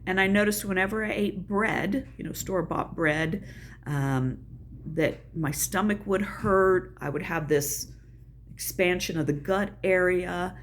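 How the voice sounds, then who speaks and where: A woman's voice: fairly low pitch, a little slow, slight background noise. An older woman with a Southern US accent, chatting casually and folksily about her own life on her video channel. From American South. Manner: chatty, folksy, self-deprecating asides. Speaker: channel host. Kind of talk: casual personal vlog.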